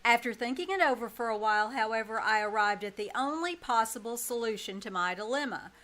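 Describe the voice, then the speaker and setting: A woman's voice: a little high, fairly quick, a bit bright, lightly acted. A woman playing a wry, long-suffering schoolteacher in a live radio comedy, with dry, sardonic delivery. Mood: wry narration. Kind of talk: live old-time radio comedy acting. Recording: live stage performance with background noise.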